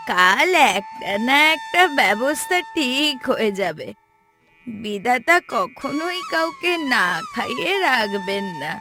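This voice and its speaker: A woman voicing a kindly, patient old village grandmother, gentle and reassuring, in a cartoon story. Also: on the high side. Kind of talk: cartoon voice acting.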